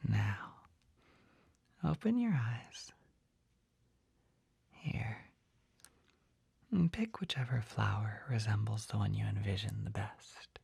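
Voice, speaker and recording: A shy, kindly young male potion shopkeeper, acted by a man for an ASMR roleplay. He speaks in a very breathy, crackly whisper, unhurried and hesitant. The sound is close and dry, gentle and skittish throughout. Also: lowish voice, dry recording, heavily acted. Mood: gentle, guiding. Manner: hesitant, gentle, soft-spoken. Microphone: close ASMR microphone.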